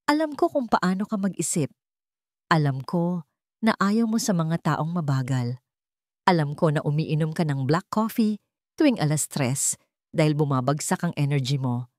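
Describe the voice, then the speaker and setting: A woman's voice: low-pitched, expressive, normal speed, pauses often, darkish tone, no echo, clean studio sound. A woman voicing a proud, desperate young mother in a radio-style story, speaking with firm emphasis. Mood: defiant.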